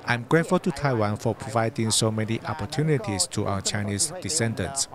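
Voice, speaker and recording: A man giving a calm, plain voice-over translation of interview answers. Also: on the low side, fairly quick, crowd noise.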